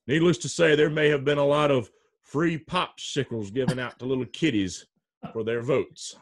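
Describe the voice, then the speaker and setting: A man with a deep voice, a podcast guest making his case in casual political chat, emphatic and often checking in with "okay?". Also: normal speed.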